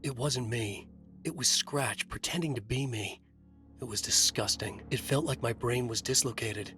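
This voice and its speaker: An actor playing a haunted, trapped writer, narrating his nightmare in a moody, deliberate noir style for a video game. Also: medium pitch, pushed and bright, soft background music, close to an everyday voice. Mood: shaken, disgusted.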